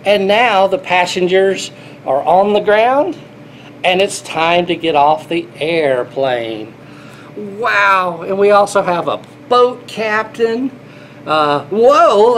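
A man's voice: high-pitched, lively intonation, takes it slowly, fairly bright tone, a little hiss or hum. A middle-aged man reading a children's picture book aloud, playful and exclaiming, talking as if to small children. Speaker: grandfather reading to children, air traffic controller. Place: small indoor airport control room.

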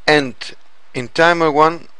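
A man narrating an informal software tutorial in a halting, step-by-step way. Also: a little high, clean tone, no room sound. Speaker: programming tutorial presenter.

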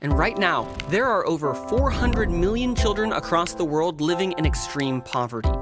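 A man reading a scripted promotional narration in a smooth, even way. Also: sits high, normal speed. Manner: polished, even promotional read. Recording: narration over loud music.

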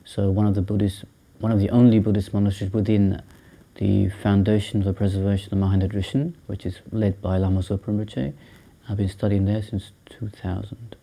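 Low, mellow-voiced monk, thoughtful and halting. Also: male voice, level tone, creaky. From Sri Lanka, raised in England. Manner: thoughtful, hesitant with many ums and restarts. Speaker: Buddhist monk and teacher. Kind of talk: interview answers. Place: small indoor room by a shrine.

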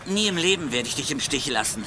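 An actor playing a loyal, desperate companion on the run in a German audio drama, urgent and pleading. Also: male voice, fairly high pitch, expressive, quick pace, noisy background, natural voice. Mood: determined. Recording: very loud music behind.

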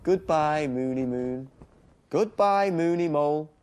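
A man voicing an excitable, playful little girl in a storybook, squealing and exclaiming. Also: on the high side, takes it slowly, clean tone, voice pushed up. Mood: fond farewell.

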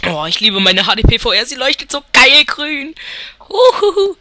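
A man's voice: very high-pitched, big pitch swings, moderate pace, bright, forceful tone, slight background noise. A young man from Germany giving casual, rambling commentary over a football video game as he thinks aloud and chuckles. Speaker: let's player.

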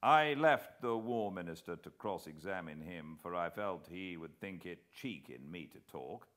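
A middle-aged British man giving a measured, expressive dramatic reading of a story as an audiobook narrator. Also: low voice. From Britain. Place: living room armchair beside a fireplace.

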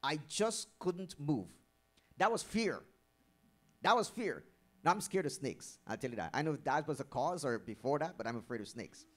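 A middle-aged man delivers a sermon in a church hall, holding a microphone close. His voice sits a little high for a man. He repeats his key words for emphasis and keeps turning to the congregation with questions. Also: sing-song, normal speed. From Guyana. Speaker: pastor. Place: church hall with curtained stage. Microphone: handheld microphone.

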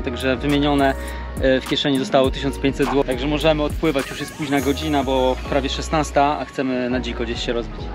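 A man in his thirties, a sailing vlogger, chatting casually outdoors on a sailboat at a lake marina. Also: on the high side. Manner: chatty, relaxed, filler-heavy. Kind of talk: casual vlog narration. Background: loud background music.

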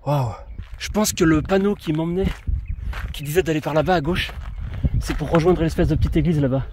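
A man's voice: fairly high pitch, fairly quick, darkish tone, slight background noise. A French man narrating his outdoor trip on camera, thinking aloud and exclaiming at birds of prey along a mountain trail. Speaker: vlogger. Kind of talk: casual travel vlog commentary. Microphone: action camera or smartphone.

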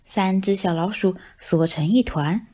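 A woman narrating a children's picture book in an expressive storytelling style. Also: medium pitch, unhurried.

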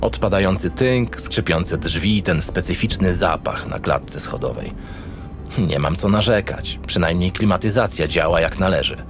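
An actor playing a cynical, irritable, foul-mouthed homicide detective in an audio drama, gruff and sardonic. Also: male voice, sits low, lively intonation, unhurried, music in the background. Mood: brooding. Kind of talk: first-person audio drama narration and dialogue.

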